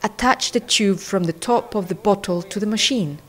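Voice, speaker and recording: A woman's voice: mid-range voice, normal speed. Woman narrating step-by-step instructions. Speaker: training film narrator.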